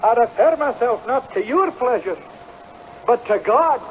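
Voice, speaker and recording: An actor playing a humble, frightened but stubborn piper, earnest and deferential, in a radio drama. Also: male voice, extremely high pitch, animated, measured pace. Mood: humble, firm. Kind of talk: old-time radio drama acting. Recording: dull, faintly noisy vintage radio broadcast.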